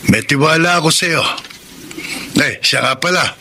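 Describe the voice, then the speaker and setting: Bright, highish stern older father. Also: measured pace, slight background noise, heavily acted. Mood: caring, admonishing. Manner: admonishing, colloquial. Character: stern but caring older provincial father. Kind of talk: Tagalog radio drama acting.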